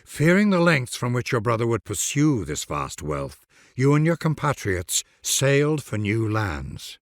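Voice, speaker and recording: Creaky, stately male game narrator. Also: mid-range voice, big pitch swings, measured pace, dry recording, clean studio sound. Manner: formal, stately, dramatic address. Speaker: narrator of strategy game leader introductions. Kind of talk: grand scripted narration.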